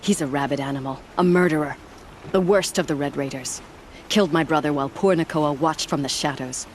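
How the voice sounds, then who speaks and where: A man's voice: fairly high pitch, a little fast, slight background noise, close to an everyday voice. Bitter, grieving villager played by a man. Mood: bitter grief. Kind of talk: video game dialogue acting.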